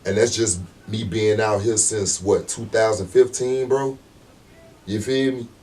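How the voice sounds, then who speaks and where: A deep, low-pitched man talks in a loose, easygoing interview, full of slang and constant check-ins with his listener. He sounds set back from the mic. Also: fairly even intonation, measured pace, a little mellow, recorded from a distance. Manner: laid-back, slangy, repeats "you know what I'm saying". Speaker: rapper guest. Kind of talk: casual interview talk.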